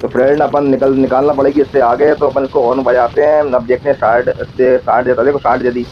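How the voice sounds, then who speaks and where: A man's voice: medium pitch, level tone, fairly quick, dull audio, faint music behind. A man with a central Indian accent giving casual, chatty running commentary as a motovlogger while riding, often repeating himself and addressing viewers as friends. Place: outdoors on a motorbike on a road.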